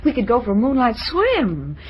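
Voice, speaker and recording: A woman's voice: on the high side, wildly swooping pitch, normal speed, husky, heavily acted. An actress playing a headstrong, gushing young woman of about twenty-one, dramatic and insistent, in an old-time radio drama. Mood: pleading, fond. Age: young adult.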